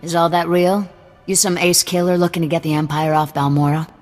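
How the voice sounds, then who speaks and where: A man's voice: on the high side, little pitch movement, fairly quick, faint music behind, natural voice. An actor playing a hard, distrustful male rebel leader with cybernetic implants, talking curtly and with open threat in a game cutscene. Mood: hostile. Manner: gruff, threatening, curt. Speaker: resistance cell leader. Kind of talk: video game cutscene acting.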